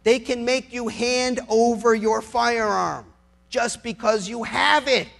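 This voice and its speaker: A man's voice: extremely high pitch, slow, creaky, fairly bright tone. A middle-aged man, a lawyer, giving an impassioned political speech in an emphatic, sarcastic way, with rhetorical questions and repeated phrases.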